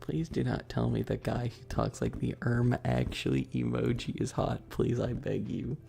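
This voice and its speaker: A man's voice: mid-range voice, expressive, normal speed, gravelly, mellow. A man chatting casually as a livestream host, bantering with viewers in a slangy, joking way. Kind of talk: casual livestream chat, ASMR streamer.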